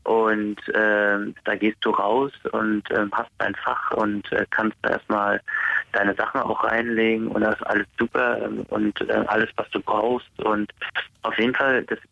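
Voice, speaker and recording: A man's voice: on the low side, flat delivery, a little slow, vocal fry, pushed and bright, no room sound. A man from the Netherlands, a prison inmate on day release, talking hesitantly in a casual interview with many fillers and restarts. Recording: dull lo-fi sound.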